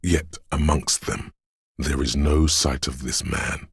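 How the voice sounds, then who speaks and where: An actor voicing a brooding, poetic, disembodied voice of a windswept city in a video game, with solemn, hushed and deliberate narration in a gravelly, husky bass. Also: male voice, fairly even intonation, no echo, clean studio sound, exaggerated voice. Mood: ominous, somber. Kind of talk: dramatic scripted game narration.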